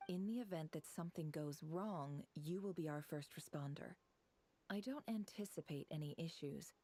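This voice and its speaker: A woman playing a composed, shrewd leader in an anime game, speaking in a measured, formal, commanding way. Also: low voice, a little mellow, a little hiss or hum. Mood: serious.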